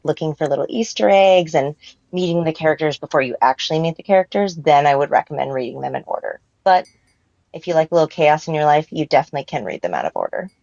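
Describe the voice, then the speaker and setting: A woman's voice: deep voice, a little mellow, dry recording. A woman guest author casually explaining things in conversation, heard through a slightly lo-fi microphone. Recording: dull, lo-fi microphone sound.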